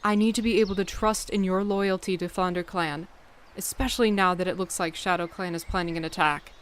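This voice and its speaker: Low female register, stern and measured: a mature cat clan leader played by a woman, audiobook drama, faint outdoor noise behind. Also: fairly even intonation, natural voice. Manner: measured, commanding. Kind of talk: audiobook fan-dub voice acting.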